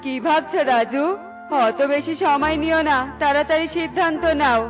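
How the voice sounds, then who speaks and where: A woman's voice: sits high, normal speed, over music, lightly acted. A woman voicing a greedy, scheming male crow in a cartoon, with a wheedling, taunting manner. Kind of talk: cartoon character voice acting.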